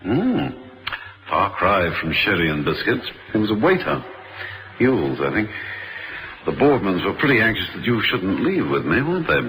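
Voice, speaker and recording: An actor with a British accent playing a suave, wry gentleman secret agent in a vintage radio drama, urbane and understated. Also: fairly low pitch, sing-song, normal speed. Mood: dry, observant. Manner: urbane, understated, dryly teasing. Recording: noisy vintage radio recording.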